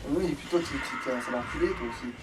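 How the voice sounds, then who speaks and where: A man's voice: medium pitch, racing. A man giving casual let's play commentary on a video game, grumbling sarcastically and swearing freely. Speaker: let's player. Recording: slightly distant mic with faint noise.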